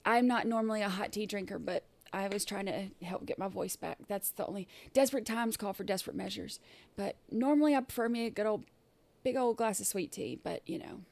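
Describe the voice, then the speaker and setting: A woman hosting a casual livestream, her throat scratchy and irritated, clearing it often and rambling with many fillers and restarts. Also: medium pitch, a little fast, a little mellow, dry recording. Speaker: livestream host.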